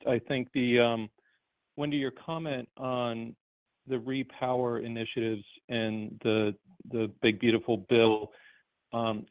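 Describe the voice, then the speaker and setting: A man's voice: on the low side, dry recording. A man asking an analyst's questions on an earnings call, with frequent hesitant fillers. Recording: dull phone line.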